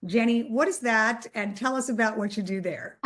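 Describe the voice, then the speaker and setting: A woman's voice: on the high side, normal speed, a bit bright. A woman hosting an online executive panel, introducing guests in an upbeat, chatty way with many fillers. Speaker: host.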